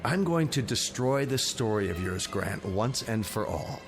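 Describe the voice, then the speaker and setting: Skeptical, lively-toned district attorney. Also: male voice, fairly low pitch, music in the background. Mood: determined, exasperated. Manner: measured, argumentative, lawyerly. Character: skeptical male district attorney, rational, stubborn, exasperated. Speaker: actor. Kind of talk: radio drama acting.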